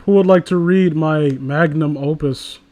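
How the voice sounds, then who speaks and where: Higher-pitched man in boastful joking banter. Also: measured pace, darkish tone. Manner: mock-boastful, crude. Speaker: podcast co-host.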